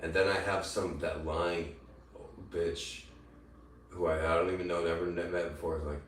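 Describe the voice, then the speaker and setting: A man livestreaming an angry, profane rant that taunts his critics, now and then mimicking them in a shrill mocking voice. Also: level tone, normal speed, slight background noise. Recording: echoey room, voice from far off the mic.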